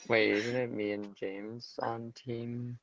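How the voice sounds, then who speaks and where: A low-voiced man with a flat, gravelly tone answers briefly and a little hesitantly as a tabletop player. His dull, lo-fi mic sounds dry and close. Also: slow, dry recording. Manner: short, hesitant replies. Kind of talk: casual chat.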